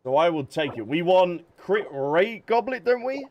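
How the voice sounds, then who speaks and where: A man's voice: high voice, big pitch swings, normal speed, muffled recording, slight background noise. A man streaming a game, chatting casually and thinking aloud as he answers viewers. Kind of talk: casual livestream chat while gaming. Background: background music.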